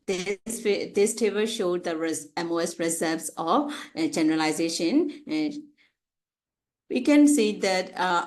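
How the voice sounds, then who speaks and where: Halting low-pitched woman. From Myanmar. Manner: halting, hesitant, with frequent pauses and repeated phrases. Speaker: researcher presenting at a conference. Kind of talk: academic slide presentation.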